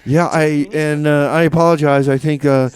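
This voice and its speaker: A man hosting a radio show with casual, rambling DJ patter in a halting, tongue-in-cheek, mock-official manner. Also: on the high side, fairly even intonation.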